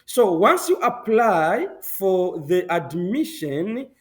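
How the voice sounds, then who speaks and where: A young man from Zambia, speaking as an interview guest, animated and emphatic, laughing often and repeating himself for emphasis. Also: sits high, slow pace. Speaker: guest, public health professional. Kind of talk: conversational interview answers.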